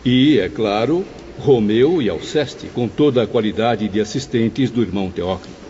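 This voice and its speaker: A man's voice: lowish voice, animated, normal speed, mellow, natural voice. A man playing a thoughtful, inquisitive professor in a formal audio drama. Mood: curious. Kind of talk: dramatised audio-book dialogue. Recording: dull, long reverb, faint ambient noise.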